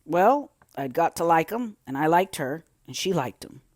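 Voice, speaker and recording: A woman's voice: sits low, sing-song, moderate pace, a little mellow, no room sound, studio quality. A woman voicing a crusty old male gardener, grumbling and blunt but kind underneath, in a storybook reading. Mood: reluctant, gruff. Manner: grumbling, blunt dialect speech.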